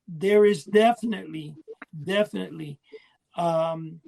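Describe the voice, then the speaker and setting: High-pitched man rambling casually. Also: a little slow, gravelly, a little mellow, rough recording. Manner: rambling, often losing his thread. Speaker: podcast co-host. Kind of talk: casual chat about collecting.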